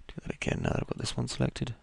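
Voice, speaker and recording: A man with a croaky voice, casually talking through each step of a 3D modeling tutorial as he works. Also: rapid-fire. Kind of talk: screen-recorded software tutorial.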